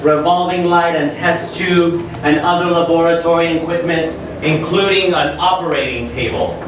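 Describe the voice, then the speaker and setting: A man giving deliberate, announcer-like narration of stage directions at a live staged reading. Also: on the high side. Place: live venue with audience. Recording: echoey hall, far mic, background noise.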